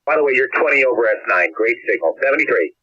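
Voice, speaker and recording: A man's voice: mid-range voice, lively intonation, fast, sharp tone, husky, dry recording, dull audio. An American man working a radio contest over shortwave, giving call signs and reports in phonetics. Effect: ham radio transmission. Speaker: amateur radio contest operator. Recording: dry band-limited shortwave radio signal.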